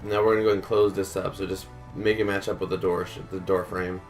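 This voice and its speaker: Low gravelly man, a little sick. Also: fast, soft background music. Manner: relaxed, counts blocks aloud, many fillers. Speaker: gaming tutorial host. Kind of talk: casual step-by-step tutorial.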